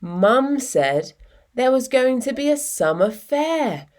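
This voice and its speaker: A woman reading a children's picture book aloud in an expressive, deliberate storytelling manner with dramatic pauses. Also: high voice. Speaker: storytime reader.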